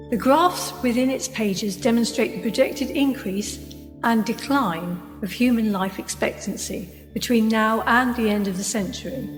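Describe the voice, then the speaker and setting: A woman playing a professor in a radio play, giving a grave, formal, measured address on a scientific report. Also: fairly high pitch, lightly acted. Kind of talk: radio play speech to an audience. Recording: long reverberant hall, faint music behind.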